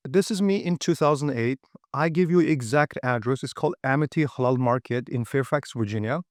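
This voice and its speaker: A man's voice: mid-range voice, a little fast, no echo, studio quality. Straight-talking man. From Uyghur region. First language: Uyghur. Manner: direct, frequent "okay?" and "right?" checks, non-native grammar. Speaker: seminar presenter. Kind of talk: motivational talk.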